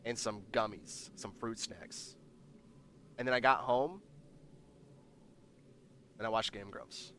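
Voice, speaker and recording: A man's voice: lowish voice, takes it slowly, pauses often, fairly bright tone, slight background noise. A man hosting a livestream, chatting casually and rambling while reading the chat aloud, congested and nasal. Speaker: livestream host.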